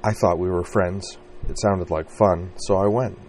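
Deep-voiced male reader doing a soft, wavering young woman, hushed and hurt, for an audiobook. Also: steady tone, measured pace, slight background noise. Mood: hushed, wistful.

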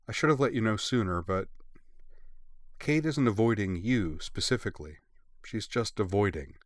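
A man voicing a sombre, reassuring girl in an audiobook story, measured and explanatory. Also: on the low side, animated, dry recording, clean studio sound, close to an everyday voice. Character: young grown-up-sounding girl, sombre, gentle, reassuring. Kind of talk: audiobook character dialogue.

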